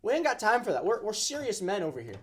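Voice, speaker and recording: A man's voice: sits high, wildly swooping pitch, quick pace, fairly bright tone, breathy edge. A man in his early twenties giving a casual, off-the-cuff tour of a workshop, rambling in a jokey, self-deprecating way with playful exaggeration. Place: indoor workshop.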